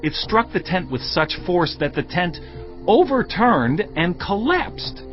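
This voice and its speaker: An awed soldier, speaks slowly in a fairly high, very animated voice. He sounds troubled and wondering, in an audio Bible drama. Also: full character voice. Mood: awed, uneasy. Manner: slow, wondering storytelling. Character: awed, troubled soldier recounting a strange dream. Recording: muffled audio under music.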